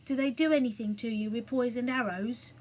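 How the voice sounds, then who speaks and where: A woman reading aloud, voicing a nervous, rough male burglar who talks in a hoarse whisper. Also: very high-pitched, fairly even intonation, brisk. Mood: anxious. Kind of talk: storybook reading.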